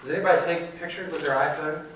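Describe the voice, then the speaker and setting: An older man with a slightly raspy voice opens a class, upbeat and asking the audience questions. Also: a little high, steady tone, quick pace. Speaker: class presenter. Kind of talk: casual class talk. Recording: echoey room, far from the mic, with noise.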